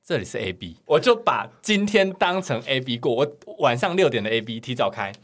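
Playful Taiwanese man with very animated pitch. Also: a little fast. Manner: playful, theatrical, exaggerating. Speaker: podcast guest. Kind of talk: casual comic storytelling.

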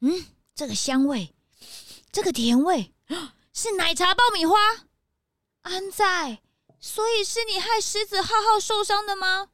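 A woman voicing an earnest, excitable young detective sidekick in a children's audio drama. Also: very high voice, unhurried. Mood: dismayed.

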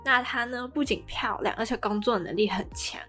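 A woman's voice: fairly high pitch, moderate pace, pushed and bright. Taiwanese woman chatting over background music. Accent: Taiwanese Mandarin. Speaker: podcast co-host. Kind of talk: casual drama recap chat.

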